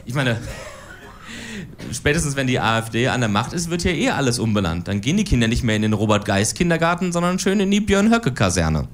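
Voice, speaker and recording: Woman, 40s, political cabaret, stage performer, dry irony, very lively intonation, faint ambience. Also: rumbling low, fast, a little hiss or hum. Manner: dry ironic delivery. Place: stage before a live audience.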